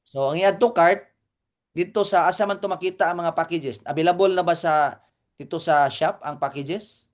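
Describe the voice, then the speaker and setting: A man's voice: fairly high pitch, steady tone, moderate pace. A man with a Cebuano accent co-hosting a live training meeting, explaining informally, repeating points and checking that listeners follow.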